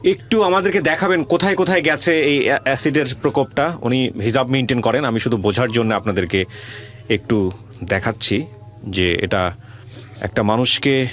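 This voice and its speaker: Bangladeshi man hosting an interview show. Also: mid-range voice. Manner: speaks loudly and deliberately for a hard-of-hearing guest. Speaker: radio show host interviewing a guest. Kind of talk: conversational interview with commentary to the audience.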